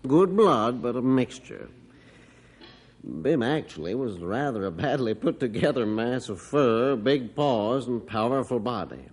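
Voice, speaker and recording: A man's voice: medium pitch, lively intonation, unhurried, darkish tone. A man playing a modest middle-aged rancher and father of two in an old radio drama, telling his story in a hesitant way. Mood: reflective. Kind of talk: 1950s radio drama acting.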